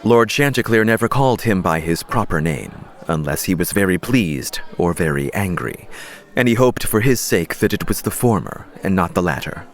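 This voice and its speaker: Deep, expressive male audiobook narrator. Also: moderate pace. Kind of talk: dramatic scripted story narration.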